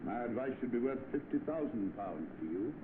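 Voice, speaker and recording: Man acting a brisk, blunt doctor, thin vintage sound. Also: medium pitch, expressive, narrow, tinny audio, noisy background, lightly acted. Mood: lecturing. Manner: blunt, authoritative. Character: stern, blunt eminent London doctor. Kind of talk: 1930s film acting.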